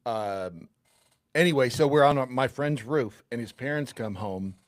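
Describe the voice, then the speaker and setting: A man's voice: medium pitch, lively intonation, unhurried, no echo. A man in casual podcast banter, teasing and tossing in questions. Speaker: podcast panelist.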